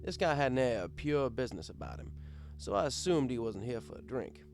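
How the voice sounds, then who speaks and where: A man narrating a comic first-person story in a wry, expressive, conversational storyteller's way. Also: mid-range voice, fairly quick, creaky, soft background music. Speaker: audiobook narrator.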